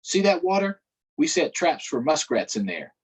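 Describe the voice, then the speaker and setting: A mean farm master from a children's storybook, barking orders and threats, as a man reading aloud puts him on. The voice is pushed higher and louder than the reader's own, with a husky grain. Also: very animated, moderate pace. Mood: harsh.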